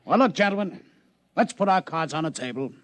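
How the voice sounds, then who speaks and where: A man's voice: fairly high pitch, animated, a little fast, a bit bright, no room sound. An actor playing a brash, wisecracking male casino boss in a 1950s radio drama. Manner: brash, wisecracking, laughs a lot. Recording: dull old radio broadcast.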